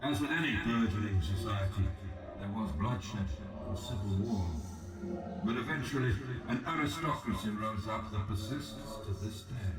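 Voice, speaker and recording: A man performing an ancient dark god from a fantasy game, solemn and regal. The voice is low and rings with echo, set back from the mic over clear music. Every phrase is formal, aloof and deliberately weighed. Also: lightly acted. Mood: measured, reflective. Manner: grave, measured, formal, aloof. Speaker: quest character in a fantasy video game. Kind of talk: scripted game dialogue, slow formal monologue. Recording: roomy echoing voice, distant, music behind.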